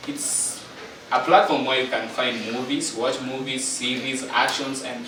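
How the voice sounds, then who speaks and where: A man's voice: medium pitch, little pitch movement, measured pace, noisy background. A nineteen-year-old man with a Kenyan accent, a guest speaking earnestly in a casual interview and often listing things. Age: young adult. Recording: echoey room from far off with chatter behind.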